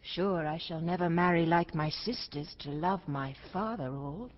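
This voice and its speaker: An actress playing an honest, dutiful young princess in a classical Shakespearean stage drama, speaking earnestly and plainly. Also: female voice, on the low side, unhurried, lo-fi sound, natural voice. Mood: earnest, firm.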